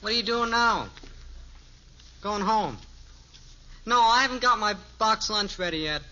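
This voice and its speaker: A man's voice: sits high, lively intonation, measured pace. An actor playing a guileless, food-loving American teenage boy, easygoing and boyish, in the style of a 1950s radio sitcom. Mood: casual, eager. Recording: very noisy, muffled old radio recording.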